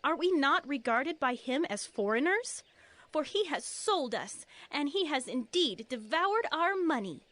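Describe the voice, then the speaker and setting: A woman's voice: sits high, sing-song, a little slow, a bit bright, heavily acted. A woman playing an indignant, wronged daughter and wife in a dramatized scripture audio, theatrical in manner.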